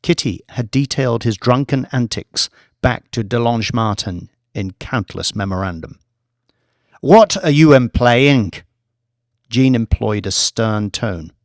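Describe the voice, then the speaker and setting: A man's voice: lowish voice, measured pace, dry recording, clean studio sound. A man reading scripted fiction as an audiobook narrator.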